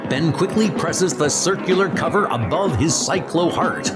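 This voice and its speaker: A man's voice: fairly high pitch, animated, normal speed. A man reading dramatic scripted comic-book narration. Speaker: narrator and character reader. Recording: music under the voice.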